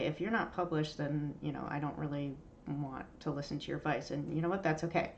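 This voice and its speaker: A woman's voice: lowish voice, a little hiss or hum. Stuffy-nosed woman hosting a writing podcast. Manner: chatty and candid, many ums and uhs. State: stuffy, nasal from allergies. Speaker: podcast host. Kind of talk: casual solo talk to writers. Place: home room.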